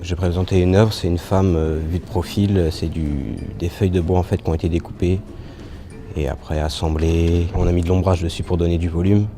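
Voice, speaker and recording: A man's voice: low-pitched, flat delivery, a little fast, background noise. A man aged twenty-one from the Paris region, a young apprentice craftsman giving informal interview answers. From Paris region, France. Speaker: apprentice marquetry craftsman, award winner. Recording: music playing behind the voice. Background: background music.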